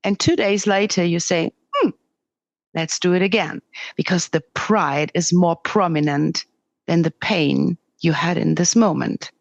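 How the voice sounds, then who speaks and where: Candid Swiss German woman, low voice. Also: vocal fry, dry recording. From Switzerland. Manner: earnest, candid, with halting restarts. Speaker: podcast guest. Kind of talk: interview conversation.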